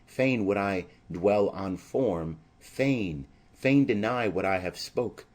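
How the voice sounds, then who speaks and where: A man voicing a smitten, anxious young maiden in earnest, measured Shakespearean verse, as in an audio drama. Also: lowish voice, expressive, darkish tone.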